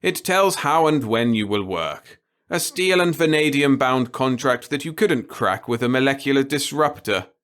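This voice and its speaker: A man's voice: mid-range voice, animated, moderate pace, fairly bright tone, pitched above the performer's own voice, close to an everyday voice. A man voicing a gleefully tyrannical old boss in a sci-fi audiobook, gloating and lecturing as he browbeats his staff.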